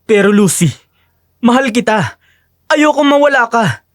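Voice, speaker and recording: A woman's voice: on the high side, animated, unhurried, no echo, studio quality. A woman voicing a lovesick, weak-willed young husband, earnest and pleading, in a dramatic audio story. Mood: hurt, pleading.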